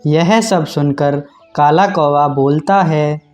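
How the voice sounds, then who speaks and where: A man's voice: on the high side, takes it slowly, darkish tone, soft background music. A man narrating a scripted children's cartoon story.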